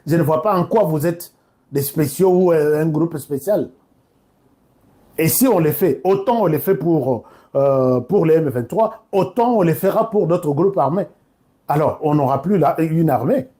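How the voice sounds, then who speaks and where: Man, Congolese accent, slightly high pitch, dark mellow timbre, online commentator, emphatic direct address, informal delivery. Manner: emphatic, direct address, switching between two languages. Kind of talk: informal political commentary to camera.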